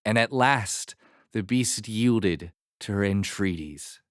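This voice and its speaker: Male storyteller, unhurried scripted fairy-tale narration, expressive, close dry sound. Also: fairly low pitch, no room sound.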